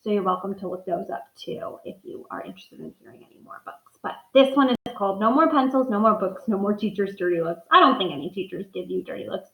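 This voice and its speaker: A woman schoolteacher reading a storybook aloud and chatting to young children in an expressive way. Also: medium pitch, fast talker, few pauses. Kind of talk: storybook read-aloud with casual asides to pupils. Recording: echoey room, slightly dull sound.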